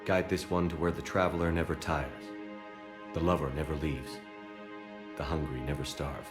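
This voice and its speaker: An actor playing a stoic, resolute military commander who speaks plainly and with firm control. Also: male voice, rumbling low, level tone, normal speed, over music. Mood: somber.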